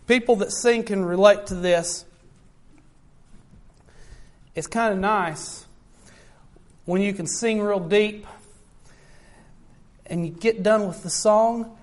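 A man with a high voice preaching a sermon in an earnest, repetitive way, often calling out to his listeners.

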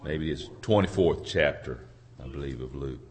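A hoarse man preaching an informal sermon in a halting, folksy way, searching for his words and clearing his throat. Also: extremely low pitch. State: hoarse from a cold. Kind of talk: informal sermon with congregation responses.

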